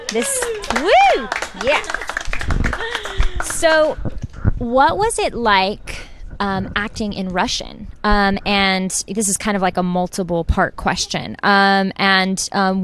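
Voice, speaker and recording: A woman's voice: mid-range voice, a bit bright, slight background noise. A woman moderating a panel, asking questions in a hesitant way with many fillers.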